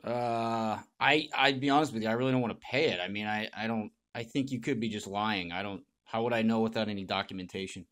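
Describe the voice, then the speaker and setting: A man's voice: fairly low pitch, steady tone, fast talker, hardly any pauses, fairly bright tone. A man chatting casually on a prank phone call, stalling and argumentative, repeating himself. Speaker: prank caller.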